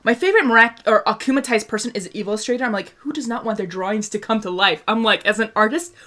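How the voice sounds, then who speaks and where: A woman's voice: a little high, fast talker, sharp tone. A young woman from Hungary hosting a casual fan discussion, chatting through viewer questions with lots of laughs, fillers and restarts. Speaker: host answering viewer questions.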